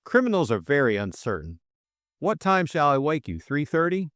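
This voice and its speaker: Audiobook role: man plays breezy young gentleman adventurer, careless, gently proud, lifted and very lively pitch. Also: moderate pace, no room sound, studio quality. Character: Jimmy Thesiger, pleasant breezy young English gentleman adventurer. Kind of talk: audiobook character dialogue.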